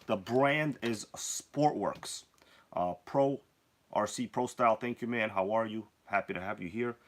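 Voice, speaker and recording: A man's voice: fairly low pitch, a little slow. A man hosting a casual live stream while sick with a cold and nearly losing his voice, joking around and greeting viewers by name.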